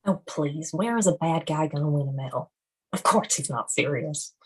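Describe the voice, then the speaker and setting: A woman as a husky, dismissive game character. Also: deep voice, moderate pace, darkish tone, low-quality recording. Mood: scornful. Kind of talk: animated film script table read.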